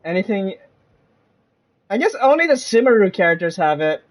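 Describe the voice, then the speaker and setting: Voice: mid-range voice, very animated, moderate pace. Casual game streamer with mid-range voice. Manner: conversational, musing aloud. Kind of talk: casual live gameplay commentary.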